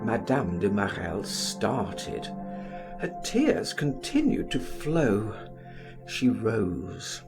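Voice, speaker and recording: A man reading a literary audiobook aloud in a theatrical, deliberate, expressive style. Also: lowish voice, vocal fry, over music. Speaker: audiobook reader.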